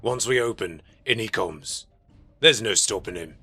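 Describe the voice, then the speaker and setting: A man voicing a blustering but uneasy village policeman in an audiobook, with a husky, forceful voice. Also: lowish voice, animated, unhurried, no echo, faint music behind, exaggerated voice. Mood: uneasy, grim. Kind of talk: dramatised audiobook character voice.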